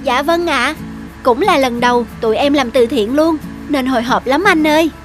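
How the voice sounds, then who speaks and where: A woman plays a young female cartoon volunteer, high-voiced and eager. She comes across as kind, with light music under her lines. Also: moderate pace, close to an everyday voice. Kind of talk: cartoon dubbing.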